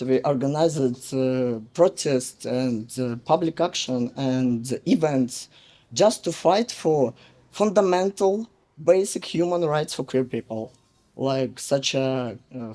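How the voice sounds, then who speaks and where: Russian accent guy giving a speech, slow, lots of uhs, soft mellow voice. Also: medium pitch. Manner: halting, many fillers and pauses. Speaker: guest speaker. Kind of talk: public speech.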